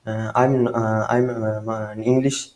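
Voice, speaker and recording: A man from Sri Lanka with a Sri Lankan accent, giving a screen-recorded software tutorial in a halting way, with many fillers and restarts. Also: lowish voice, little pitch movement, echoey room. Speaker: tutorial maker.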